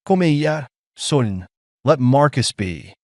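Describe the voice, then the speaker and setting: Guy reading as tired proud alien mom, gentle but firm, kinda formal wording, audiobook style, really dry sound. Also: medium pitch, animated, no echo, pitched above the performer's own voice. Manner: measured, formal phrasing. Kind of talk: audiobook character dialogue.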